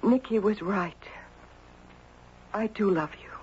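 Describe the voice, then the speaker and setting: A woman's voice: medium pitch, slow pace, darkish tone, natural voice. An actress playing an anxious, loving mother with an earnest, emotional delivery in a 1970s radio drama. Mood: tender. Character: Monica, anxious, loving mother of a young girl. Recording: dull, noisy 1970s radio broadcast.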